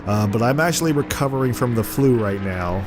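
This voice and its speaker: A man with a hoarse, congested voice from the flu, giving casual play-by-play over a racing video game. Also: mid-range voice, a little fast. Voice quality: rough, congested voice. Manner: excited play-by-play, rising sharply at crashes. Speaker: gaming commentator. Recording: game music and car noise underneath. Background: racing game engine noise and music.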